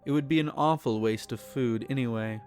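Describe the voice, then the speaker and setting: A man's voice: medium pitch, a little fast, a little mellow, soft background music, close to an everyday voice. A man playing a possessive, teasing vampire in an intimate roleplay audio, speaking in formal, silky tones and courtly endearments. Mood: playful, teasing. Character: possessive, teasing, courtly male vampire, centenarian yet youthful. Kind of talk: intimate roleplay audio, scripted character acting.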